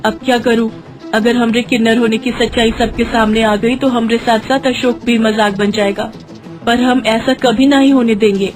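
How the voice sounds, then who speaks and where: A woman's voice: on the high side, fairly even intonation, moderate pace, lightly acted. A woman voicing a naive, devoted young village bride who speaks in rustic dialect turns of phrase, in an acted story. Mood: anxious. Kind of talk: Hindi moral story acting. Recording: music behind the voice.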